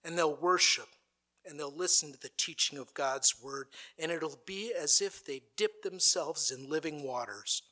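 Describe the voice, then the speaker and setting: A pastor preaching at a conference, building to a dramatic recited speech. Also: male voice, a little high, unhurried, sharp tone. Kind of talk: sermon. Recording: played from an archive recording.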